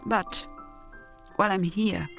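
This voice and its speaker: A woman's voice: mid-range voice, fairly even intonation, takes it slowly, vocal fry. An Italian woman with an Italian accent, narrating in a reflective, poetic, scripted style. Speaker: narrator of an audio drama. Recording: music behind the voice. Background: music.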